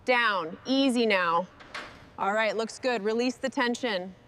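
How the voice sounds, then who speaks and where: A woman's voice: fairly high pitch, measured pace, a bit bright, slight background noise. A woman speaking short, scripted remarks and commands as a machine restorer at work.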